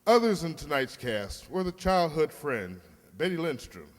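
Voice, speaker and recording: A man announcing a radio play from a script in a wry, theatrical, measured manner. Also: a little high, sing-song. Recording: hall reverb, faint noise.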